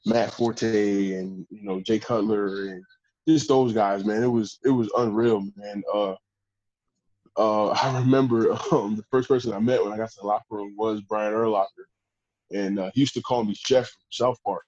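Deep-voiced laid-back football player. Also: male voice, fairly even intonation, normal speed, a little mellow, dry recording, highs cut off. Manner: laid-back, rambling with restarts. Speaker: pro football player guest. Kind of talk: casual storytelling interview.

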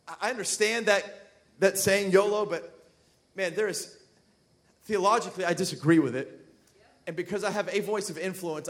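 A man preaching a sermon to a church congregation. He talks casually, cracks jokes and builds up to loud, emphatic passages. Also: high voice. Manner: conversational, jokey, builds to shouting emphasis. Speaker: pastor. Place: church sanctuary.

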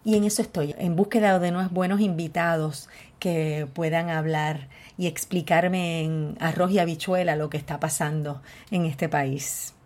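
Puerto Rican woman host, slightly low, dark and mellow tone, frank and outspoken delivery. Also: steady tone, normal speed. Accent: Puerto Rican Spanish. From Puerto Rico. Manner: outspoken, candid, openly frustrated. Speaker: podcast host. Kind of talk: solo podcast monologue.

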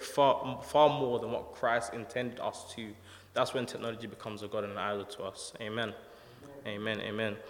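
A young man gives a relaxed, soft-spoken sermon at a middle pitch, often pausing with ums. His voice goes through a microphone and rings in a large church hall with long reverb. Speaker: preacher. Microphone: handheld or stand microphone.

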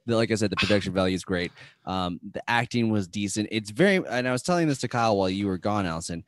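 A man's voice: on the low side, lively intonation, fast talker, no echo. A man from Texas talking about movies on a casual podcast. He jokes crudely and laughs a lot. His voice is recorded close and dry, with no room sound. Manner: joking, crude, laughs often. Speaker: podcast co-host.

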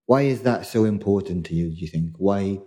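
Low, mellow man chatting. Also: a little fast. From South Wales. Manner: rambling, searching for words with many restarts. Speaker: podcast co-host. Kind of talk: casual interview chat.

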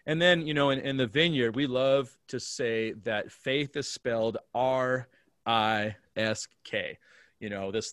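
A man's voice: mid-range voice, a bit bright, no echo, studio quality. An American man from Alaska, a pastor, explaining faith conversationally and earnestly as an interview guest. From Alaska, United States.

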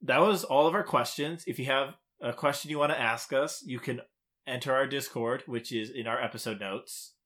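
Bright-voiced man bantering as a podcast co-host. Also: mid-range voice, normal speed. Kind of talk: casual bantering podcast chat, reading out quiz questions.

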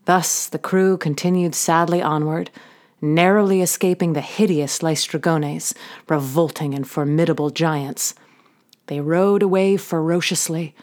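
A woman narrating an audio drama as its host, in a theatrical, emphatic storytelling manner. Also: on the low side.

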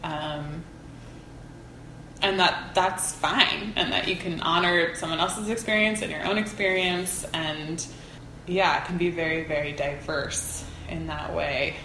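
A woman's voice: on the low side, fairly even intonation, unhurried, bright, forceful tone. A woman speaking as an interview guest, earnest and informal, thinking aloud in casual, reflective conversation. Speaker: interview guest, folk practitioner. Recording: roomy echo, faint background noise.

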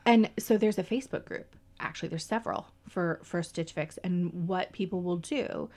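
Woman podcast co-host, casual and chatty, fairly low voice. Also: moderate pace, darkish tone. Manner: chatty, explains in detail with small restarts. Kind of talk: casual chat.

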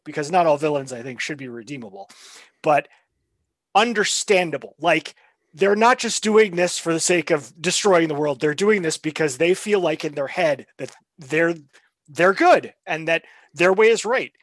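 A man's voice: fairly high pitch, animated, moderate pace, fairly bright tone, no room sound. A man co-hosting a podcast about tabletop games, chatting casually and acting out little quotes as he tells stories. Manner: chatty storyteller, acts out quotes. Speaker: podcast co-host. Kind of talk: casual chat.

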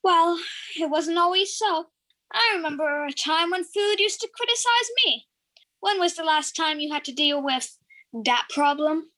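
Young woman playing an eccentric, high-voiced cat lady. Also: expressive, a little slow, pushed and bright, heavily acted. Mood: airy, musing. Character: eccentric, chatty, oblivious older lady fond of cats. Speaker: student performer. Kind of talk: audio drama acting.

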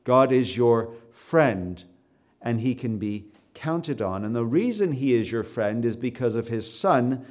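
Canadian male preacher, mid-pitched, chatty delivery with fillers, rising to firm emphasis. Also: expressive, unhurried. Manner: conversational, with frequent fillers and repeated words; builds to emphatic, louder stresses. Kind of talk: sermon to a live audience.

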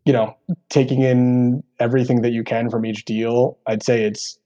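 An American man with a deep voice giving earnest, explanatory interview answers as a podcast guest, with frequent asides. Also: monotone, normal speed, mellow, dull audio. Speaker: podcast guest, real estate executive.

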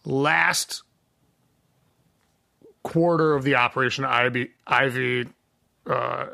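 A man's voice: medium pitch, steady tone, slow, vocal fry, sharp tone, no room sound, studio quality. A man guesting on a podcast, chatting casually about his songwriting in a rambling way with lots of fillers.

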